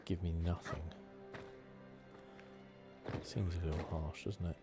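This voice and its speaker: A man giving relaxed ASMR-style game commentary with wry asides, speaking softly into a close microphone. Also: low-pitched, slow. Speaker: gaming video host. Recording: loud game music behind.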